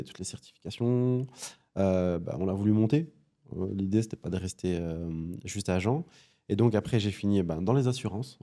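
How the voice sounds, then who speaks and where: A man in his thirties from Belgium, a podcast guest talking casually in a studio, halting with many fillers. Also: low voice, warm tone. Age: 30s. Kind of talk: casual interview chat.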